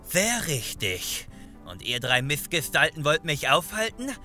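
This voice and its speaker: A man's voice: on the high side, very animated, unhurried, pushed and bright, soft background music, full character voice. A man playing an arrogant old male vampire in a comedy audio drama, with a pompous, sneering manner. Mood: mocking menace. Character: arrogant, mocking old vampire, menacing blood-sucker.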